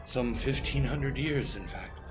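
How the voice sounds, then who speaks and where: An actor playing an ancient, grave wizard leader in an audio drama, weary and proud, speaking in formal, ceremonious phrases. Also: male voice, medium pitch, a little slow, music in the background, lightly acted. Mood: solemn pride. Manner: formal, ceremonious, stately speech. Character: ancient Merlin, grave male founder and commander, weary, proud.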